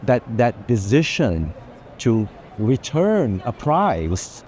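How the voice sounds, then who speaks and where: A man's voice: mid-range voice, very animated, very slow, a little mellow. A man speaking as an art collector in unscripted discussion, earnest and repeating phrases for emphasis. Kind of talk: thoughtful spontaneous discussion. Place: indoor art fair, walking among stands. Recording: faint busy fair hall noise.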